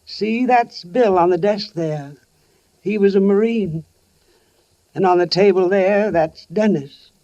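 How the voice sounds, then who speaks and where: An actress playing a kindly, wary older housewife and mother in a 1940s radio suspense drama, speaking gently and carefully. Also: mid-range voice, takes it slowly, close to an everyday voice. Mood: gently concerned. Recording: muffled old radio broadcast recording.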